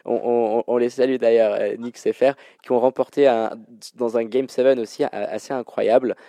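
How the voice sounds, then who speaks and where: A man with a mellow, relaxed voice hosts a sports trivia game. His delivery is informal and full of colloquial slang, and the sound is close and dry. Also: mid-range voice, moderate pace, no room sound. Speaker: quiz show host. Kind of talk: hosting a basketball trivia quiz, casual banter.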